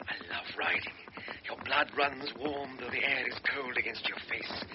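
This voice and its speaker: Actor as a reflective young lieutenant, lo-fi radio sound, noisy behind. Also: male voice, medium pitch, moderate pace, natural voice. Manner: inward, reflective monologue. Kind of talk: radio drama inner monologue.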